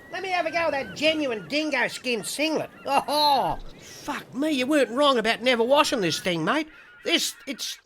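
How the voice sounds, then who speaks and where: A man playing a bawdy, curious castaway in a comic audio drama, with halting, exaggerated reactions. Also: very high-pitched, a bit bright, slight background noise, heavily acted, poor audio.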